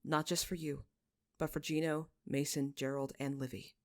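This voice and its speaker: A woman's voice: deep voice, flat delivery, moderate pace, dry recording, clean studio sound. A woman voicing an earnest young male lord in a story, solemn and subdued. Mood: remorseful.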